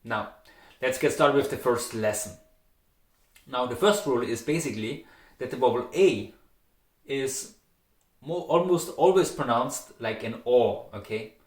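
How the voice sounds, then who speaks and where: A man's voice: mid-range voice, unhurried, long pauses, echoey room. A man from Austria with an Austrian German accent, teaching pronunciation in a patient, demonstrative way and often checking in with "okay?". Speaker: dialect teacher. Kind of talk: pronunciation lesson.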